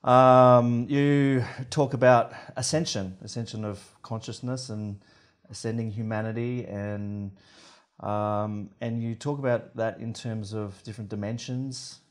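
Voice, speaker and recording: Relaxed man hosting a studio podcast. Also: medium pitch, measured pace. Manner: relaxed, rambling with fillers. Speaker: podcast host. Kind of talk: casual interview. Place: podcast studio with wooden wall and plants. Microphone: studio mic on boom arm.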